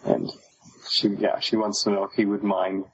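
A man's voice: on the low side, flat delivery, normal speed. A man co-hosting a casual book discussion, musing aloud in a tentative way. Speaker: podcast co-host. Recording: dull, echoey lo-fi sound; long echoey reverb.

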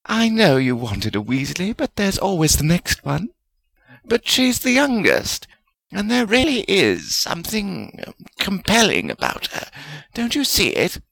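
A man voicing a kindly female witch spirit with a gentle, motherly, soothing manner in a storybook reading. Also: high voice, wildly swooping pitch, a little slow, vocal fry, pitched above the performer's own voice, full character voice, low-quality recording.

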